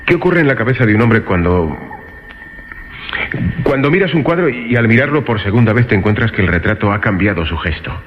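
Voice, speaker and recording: A man's voice: medium pitch, animated, fairly quick, in a large hall, natural voice. An actor playing an uneasy man unsettled by a haunted portrait, in a radio horror drama. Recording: muffled lo-fi radio drama recording.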